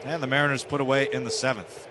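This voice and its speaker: A man's voice: medium pitch, fairly even intonation, quick pace. A man doing live baseball play-by-play on the radio in short, clipped phrases. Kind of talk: live radio sports commentary. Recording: noisy live ballpark radio broadcast. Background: outdoor stadium ambience.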